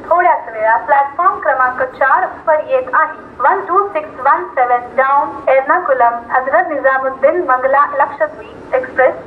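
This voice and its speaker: A woman with an Indian accent making formal, measured railway station announcements in a formulaic, word-by-word delivery. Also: sits high, slight background noise. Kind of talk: formulaic station announcement, repeated in several languages. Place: railway station, over loudspeakers. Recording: echoey, tinny public-address loudspeaker.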